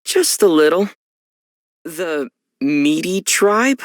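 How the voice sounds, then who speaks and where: A man voicing a calm young traveler in a video game, measured and gently teasing. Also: fairly high pitch, dry recording. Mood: curious, amused. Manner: measured, wry.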